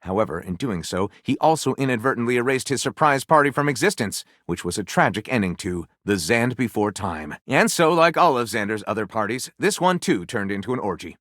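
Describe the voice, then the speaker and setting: Theatrical, wildly animated pulp storyteller. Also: male voice, mid-range voice, a little fast, few pauses, dry recording, studio quality. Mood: dramatic narrating. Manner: melodramatic, grandiose, playfully sardonic. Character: flamboyant, enthusiastic male storyteller of trashy pulp fan fiction. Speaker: video game character. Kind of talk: theatrical storybook narration for a comedy game.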